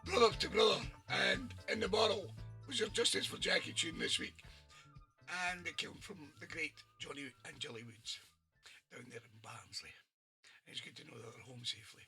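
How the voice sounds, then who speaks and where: A hoarse man hosting an online soul radio show, chatting casually and laughing between records. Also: a little high, normal speed, bright, forceful tone, soft background music. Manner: chatty, laughs often, friendly asides to listeners. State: hoarse, losing his voice. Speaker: radio DJ and host.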